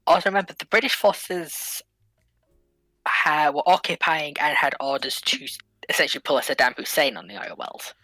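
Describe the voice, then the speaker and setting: A woman's voice: low voice, moderate pace, sharp tone, dry recording, faint music behind, low-quality recording. A woman chatting casually and debating history as a co-panelist in a discussion.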